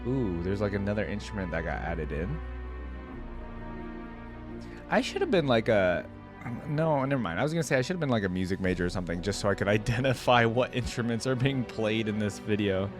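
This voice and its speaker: A man's voice: fairly low pitch, lively intonation, quick pace. A man hosting a reaction video, giving casual commentary in an informal, rambling way as he thinks aloud. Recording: music playing behind the voice. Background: music.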